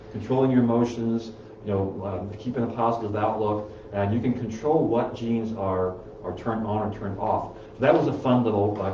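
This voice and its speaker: A middle-aged man talks from printouts in a small office, halting often on fillers and restarts. His voice is lowish and mellow, picked up by a far camera so it sounds distant and roomy. The sound is a bit dull. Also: a little hiss or hum. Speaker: chiropractor hosting a health talk. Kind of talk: casual talk reading from printouts. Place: small office room, indoors.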